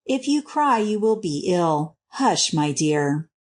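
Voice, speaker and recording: A woman voicing a tender, protective gentleman husband in a storybook, speaking in a gentle, well-spoken manner. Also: mid-range voice, big pitch swings, moderate pace, close to an everyday voice. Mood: soothing.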